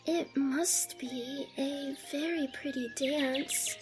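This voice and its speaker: A woman's voice: sits high, fairly even intonation, slow pace, music in the background. A woman playing a curious, polite little girl in an audiobook drama, speaking carefully. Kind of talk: scripted audiobook character acting.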